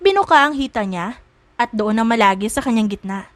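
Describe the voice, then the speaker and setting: A woman's voice: a little high, animated, fairly quick, dry recording. A woman narrator reading a scripted romance story for an audiobook.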